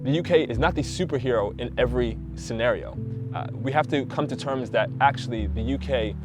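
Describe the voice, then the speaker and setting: A man's voice: mid-range voice, brisk, over music. A man speaking earnestly and passionately in a reflective documentary interview.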